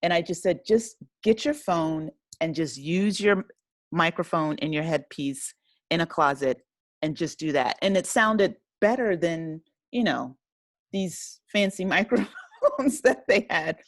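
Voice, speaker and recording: A woman's voice: on the low side, lively intonation, unhurried, no room sound. Woman guest, dry close sound, casual. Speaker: interview guest, audio drama writer and director. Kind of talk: casual conversational interview.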